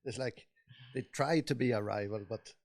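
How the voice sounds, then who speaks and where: Swedish male co-host, gravelly voice, hesitant and halting. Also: mid-range voice. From Sweden. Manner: hesitant, many fillers and pauses. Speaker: podcast co-host. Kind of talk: casual chat.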